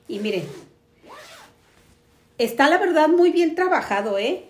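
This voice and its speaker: A woman's voice: sits high, animated, a little slow. A woman, chatting enthusiastically to camera as she hosts a casual home product review. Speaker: host of a home decor channel. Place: living room on a sofa, indoors. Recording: roomy echo.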